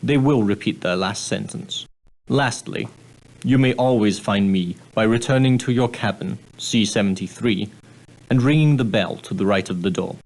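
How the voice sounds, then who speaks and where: An actor playing a straight-laced, proper ocean-liner steward in a video game, speaking formally and deferentially with precise, politely dry phrasing. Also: male voice, lowish voice, normal speed, darkish tone, low-quality recording. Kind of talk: scripted game dialogue, giving guidance.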